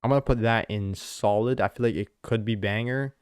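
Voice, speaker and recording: A man chatting casually as he reacts to game music, excitable and thinking aloud as he ranks tracks. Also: fairly low pitch, brisk, clear, resonant tone, no room sound, studio quality. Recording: music playing in background.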